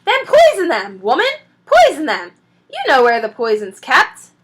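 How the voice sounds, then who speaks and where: A woman reading a story and voicing a bossy, impatient housewife who calls out sharp orders. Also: high voice, huge pitch swings, unhurried, voice pushed up, full character voice. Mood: bossy, exasperated. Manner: sharp, commanding, scolding.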